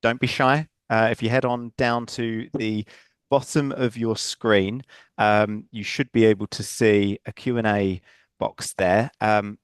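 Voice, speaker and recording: A man's voice: lowish voice, steady tone, a little slow, clean tone, no echo. A man hosting a webinar Q and A over a video call, speaking in a friendly, loose way with many fillers. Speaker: webinar host.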